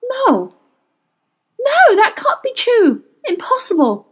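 A woman's voice: very high voice, sing-song, slow, pitched above the performer's own voice, heavily acted. A woman voicing a heartbroken, vengeful witch in a story, proud and full of emotion. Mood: shocked disbelief.

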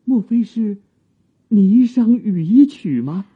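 An actor playing an earnest young court musician of old China in a Chinese radio drama, husky and measured, with literary diction. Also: male voice, high-pitched, lively intonation, exaggerated voice. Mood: awed. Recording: muffled narrow-band, music behind.

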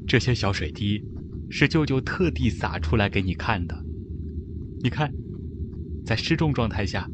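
An actor playing a tender, protective uncle in a radio drama, patiently and gently explaining things to a child. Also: male voice, fairly low pitch, lively intonation, moderate pace, pauses often, natural voice. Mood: gentle, reassuring. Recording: echoey, loud music, background noise.

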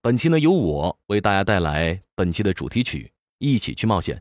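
A man's voice: deep voice, very animated, a little fast, no room sound, studio quality. A man, a voice actor, giving a scripted introduction to listeners. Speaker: voice actor introducing theme song.

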